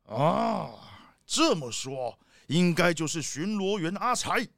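男演员扮演 夸张激动的豹子老大. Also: male voice, sits high, sing-song, takes it slowly, gravelly, fairly bright tone, dry recording, clean studio sound, faint music behind, full character voice. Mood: accusing. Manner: dramatic, accusing, emphatic. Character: blustering, suspicious leopard boss and father. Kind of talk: children's radio play.

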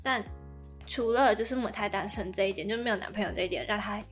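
A woman's voice: medium pitch, fast talker, faint music behind. A woman with a Taiwanese Mandarin accent co-hosting a podcast, casually recapping a drama in a chatty, explanatory way. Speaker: podcast co-host.

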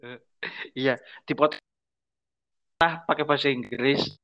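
A man's voice: mid-range voice, takes it slowly, sharp tone. A young man, chatting casually as a podcast co-host with short, easygoing, teasing replies. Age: young adult. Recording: dull lo-fi podcast audio.

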